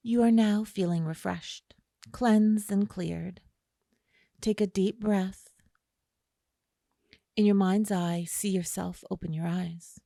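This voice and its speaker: A woman guiding a listener through an imagery meditation in a slow, soothing way, with long pauses. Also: medium pitch, steady tone, creaky, warm tone, no echo, studio quality. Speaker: meditation guide. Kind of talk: guided imagery meditation narration.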